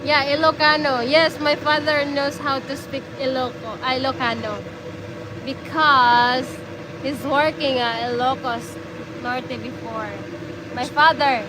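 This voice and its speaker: A woman's voice: sits high, fairly even intonation, unhurried, background noise. A Filipino woman whose first language is Bisaya, speaking English with a Filipino accent and giving short, hesitant answers in a casual chat. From Philippines. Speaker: host's partner.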